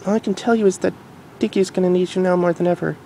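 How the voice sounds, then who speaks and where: A man voicing a gentle, knowing grown mare from the future in an amateur cartoon fan-fiction reading, calm and explanatory with a cute touch. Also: high-pitched, fast talker, creaky, a little hiss or hum, pitched above the performer's own voice. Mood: grave.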